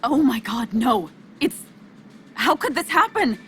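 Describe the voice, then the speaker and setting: An actress playing an earnest, principled journalist in a video game drama, speaking in an emotional, pleading way. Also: female voice, a little high, very animated, unhurried, slight background noise. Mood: shocked, distressed. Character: earnest, principled female journalist, anxious but loyal friend.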